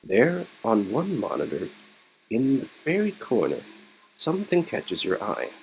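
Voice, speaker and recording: A man's voice: medium pitch, huge pitch swings, measured pace, creaky, darkish tone, a little hiss or hum, low-quality recording. A man reading a story game aloud as host, playfully dramatizing it and breaking off into joking commentary. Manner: playful, riffing, swings into exclamations and mock voices.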